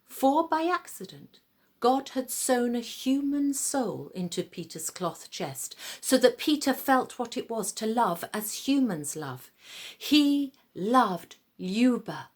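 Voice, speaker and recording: A woman storyteller with a fairly high, very animated voice narrates a storybook tale. She takes her time, shaping phrases dramatically with deliberate pauses, over music.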